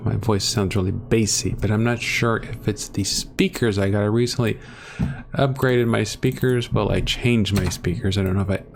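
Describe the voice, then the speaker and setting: Rambling gamer guy, low voice. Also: darkish tone. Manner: rambling, self-deprecating, thinks aloud while playing. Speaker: gaming channel host. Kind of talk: casual gameplay commentary. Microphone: desktop microphone, close.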